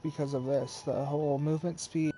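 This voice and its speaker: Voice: mid-range voice, steady tone, fairly quick, dark tone, low-quality recording. A gamer giving casual gameplay commentary. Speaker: gamer, video maker. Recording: game music behind voice.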